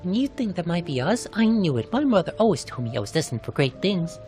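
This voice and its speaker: A man acts out a brash, cheeky young fantasy game adventurer. The voice swings widely in pitch and has a gravelly edge, teasing and chatty over faint music. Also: very animated, brisk, darkish tone.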